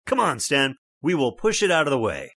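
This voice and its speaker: A man's voice: mid-range voice, huge pitch swings, brisk, no room sound, clean studio sound, heavily acted. An actor playing a stubborn, scoffing middle-aged father with a brash manner, in old-time radio drama style. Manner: brash, scoffing, loud laughs.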